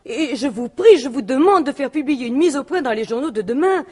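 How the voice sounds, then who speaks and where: An actress playing a proper, easily flustered widow in a French radio mystery in 1950s style, speaking formally and emphatically. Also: female voice, sits high, animated, brisk, mellow, breathy edge. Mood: indignant. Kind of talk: French radio drama acting in 1950s manner.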